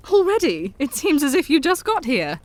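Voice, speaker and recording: Woman doing a soft-spoken victorian mum, genteel, audio drama. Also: high voice, huge pitch swings, moderate pace, no echo. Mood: delighted. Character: gentle Victorian mother, young woman.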